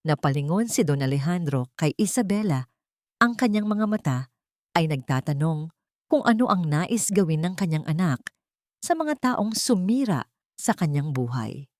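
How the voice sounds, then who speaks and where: Low-pitched Filipino woman narrating a drama. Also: sing-song, moderate pace, a little mellow, no echo, studio quality. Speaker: storyteller. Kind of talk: scripted dramatic audio story narration.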